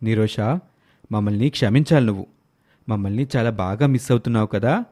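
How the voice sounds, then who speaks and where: A man voicing an earnest, protective young father in an animated moral story. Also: lowish voice, fairly quick, pitched below the performer's own voice, natural voice. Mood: apologetic. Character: protective, soft-hearted young father and husband.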